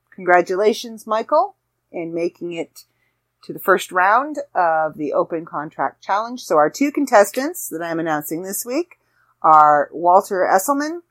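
A woman hosting a casual solo podcast, chatty and rambling with self-deprecating asides, her voice slightly raspy. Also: medium pitch, a bit bright. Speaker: podcast host.

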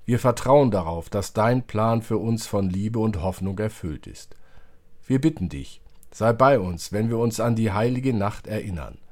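A man's voice: fairly low pitch, expressive, measured pace, a little mellow. A man reading psalm and prayer as a church service leader, solemn and declamatory.

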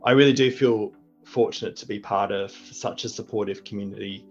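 A man's voice: lowish voice, fairly even intonation, a little fast. A middle-aged man, a teacher and deputy principal, giving earnest, reflective interview answers with frequent restarts. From Australia. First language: English. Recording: muffled lo-fi audio with faint music.

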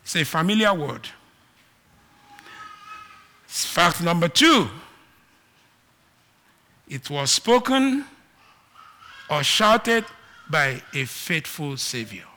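A man from Nigeria with a Nigerian accent, preaching a sermon and moving between loud emphatic declarations and quiet asides. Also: fairly high pitch, sing-song, drawn-out words, pauses often.

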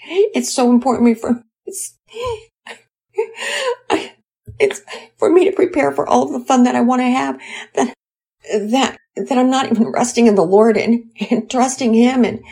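A tearful, overwhelmed mother speaks in a high, shaky voice, stumbling over her words between sobs, as a woman reading a storybook puts her on. The tone stays husky and breathy throughout. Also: warm tone, heavily acted. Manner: sobbing, halting and stammering. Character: distraught middle-aged mother, tearful and ashamed.

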